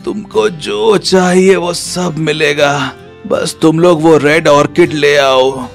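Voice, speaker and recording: A greedy old landlord, acted by a male storyteller, rich and used to giving orders. He draws his words out slowly and commandingly, in a cartoon storybook style, with music underneath. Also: on the high side, vocal fry. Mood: imperious.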